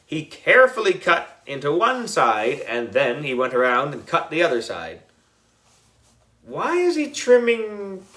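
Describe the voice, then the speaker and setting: A man narrating a children's story in an expressive, playful style. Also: mid-range voice, normal speed, hardly any pauses. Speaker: audiobook reader.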